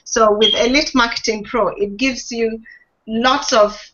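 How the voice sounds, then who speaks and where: A woman's voice: a little high, fairly even intonation, measured pace, a bit bright. A woman speaking hesitantly with many restarts on a live video call, sharing her experience. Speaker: online marketing member. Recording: dull lo-fi video call audio.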